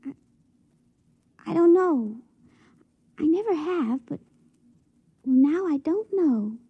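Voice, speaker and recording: An actress playing a tender, trusting young woman in a 1950s radio drama, speaking softly and hesitantly. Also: very high voice, lively intonation, slow pace, no room sound, muffled recording, heavily acted. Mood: tender, earnest. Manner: hesitant, earnest.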